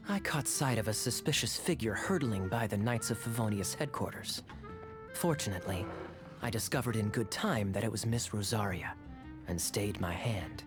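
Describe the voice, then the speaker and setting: A man voicing a smooth, teasing male knight captain in a video game dub. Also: lowish voice, lively intonation, moderate pace, music in the background, close to an everyday voice. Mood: wry.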